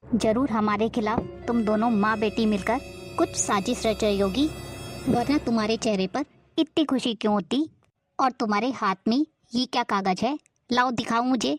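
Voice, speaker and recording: A woman voicing a middle-aged, scheming aunt who scolds and accuses her family in an animated drama. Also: on the high side, normal speed, no room sound, soft background music, natural voice. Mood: accusing. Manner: scolding, accusing, sharp-tongued.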